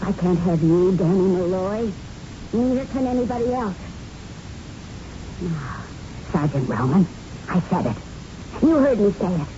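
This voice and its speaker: An actress playing a jilted, possessive young chorus girl in a 1970s radio drama, speaking with sharp-tongued intensity. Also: fairly low pitch, big pitch swings, a little slow, pauses often. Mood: menacing. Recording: muffled, faintly noisy old radio broadcast.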